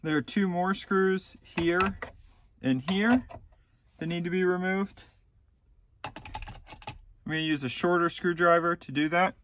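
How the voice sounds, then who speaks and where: A man in his thirties calmly walking through a car repair step by step, with a few ums, recorded inside a car. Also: sits high, measured pace, pauses often, a little hiss or hum. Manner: plain, methodical instructions with frequent ums. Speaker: DIY repair channel host. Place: inside a car, small enclosed cabin.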